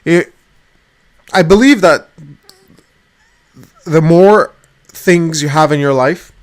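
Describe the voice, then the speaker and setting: A man's voice: on the high side, slow. A man whose first language is not English, ranting at his webcam in a rambling way, swearing often and restarting his sentences. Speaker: vlogger. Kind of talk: rambling personal rant. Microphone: webcam at desk.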